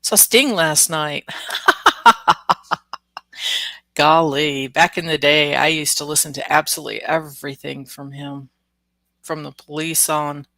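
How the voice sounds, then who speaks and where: A woman's voice: low-pitched, takes it slowly, pauses often, bright, forceful tone, no echo. A woman hosting a live stream, chatting casually with viewers and greeting them by name. She sounds stuffed up and nasal from the flu. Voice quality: nasal, congested. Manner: chatty, greets commenters by name, laughs often. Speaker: live stream host, oracle card reader.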